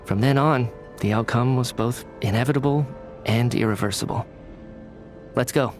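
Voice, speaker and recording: An actor playing a calm, detached man who speaks of fate in measured, philosophical tones in a game. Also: mid-range voice, faint music behind, natural voice. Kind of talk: game voice acting.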